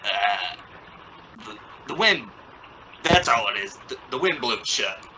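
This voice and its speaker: A man's voice: medium pitch, big pitch swings, unhurried. A man playing an exasperated, sarcastic middle-aged father in an old-time radio sitcom, flustered and bluffing confidence. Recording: dull, noisy lo-fi radio play recording.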